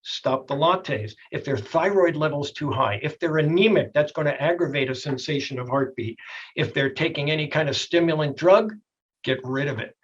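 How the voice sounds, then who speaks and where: A middle-aged or older man with a slightly husky voice, a doctor explaining things in a plainspoken, folksy way in a TV health interview. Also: fairly high pitch, normal speed, muffled recording. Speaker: doctor, expert guest.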